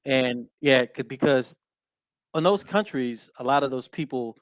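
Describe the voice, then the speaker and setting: A man hosting a casual podcast chat, filling his talk with "you know what I'm saying" and giving steady "mm-hmm" as his guest talks. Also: medium pitch, unhurried, no echo. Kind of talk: casual conversation.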